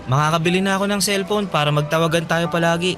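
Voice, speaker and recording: A man's voice: a little high, steady tone, quick pace, lightly acted. An actor playing an earnest, lovestruck teenage boy from a traveling fair, eager and pleading in a radio drama. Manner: eager, pleading, plainly sincere. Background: music underneath.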